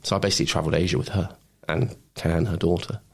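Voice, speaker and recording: A man's voice: low-pitched, fairly even intonation, quick pace, gravelly, husky. A man telling personal stories casually as a podcast guest, in an informal, blokey way. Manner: informal, blokey, says mate, man and bro. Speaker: podcast guest, former Royal Marine. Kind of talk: casual anecdotal storytelling.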